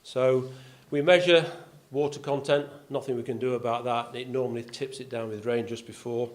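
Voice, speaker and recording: A man's voice: medium pitch, steady tone, normal speed. An older man from Yorkshire with a faint accent, speaking to an audience as a golf club's vice captain, with dry self-deprecating humour and frequent "uh" fillers. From Yorkshire, England. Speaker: golf club vice captain giving a talk. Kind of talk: speech to an audience. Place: clubhouse function room.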